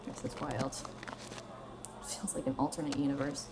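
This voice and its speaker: A woman's voice: a bass voice, level tone, normal speed, very noisy. A young woman playing a blunt, restless, sardonic 27-year-old star in a naturalistic radio drama, probing in a conversational way. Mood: incredulous, blunt. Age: young adult.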